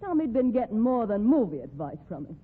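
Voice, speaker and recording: An actress with an American accent playing a sharp-tongued, scheming cowboy's wife in old radio drama, with combative, slangy folksy speech. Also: female voice, on the high side, very animated, brisk, breathy edge. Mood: defiant. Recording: tinny, narrow-band old radio recording.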